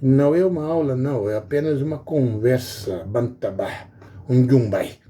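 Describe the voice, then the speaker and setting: A middle-aged man with a Guinea-Bissau Portuguese accent speaks in an informal, earnest monologue, often pausing on fillers and repeating his pleas. His voice is warm and mellow at an ordinary pitch for a man. A faint background noise sits under the recording. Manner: earnest, repetitive pleading with many hesitations. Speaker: video blogger.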